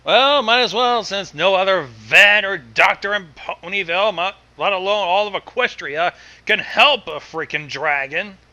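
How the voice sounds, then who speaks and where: Very bright, high-voiced man chatting slowly. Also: dull audio. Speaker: co-commentator on a fan fiction reading. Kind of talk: casual reaction chat.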